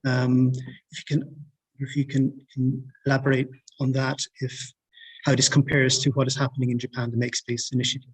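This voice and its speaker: A man's voice: medium pitch, completely flat delivery, brisk, slightly rough, poor audio. A man moderating a panel Q&A, patiently repeating and rephrasing questions for the guests.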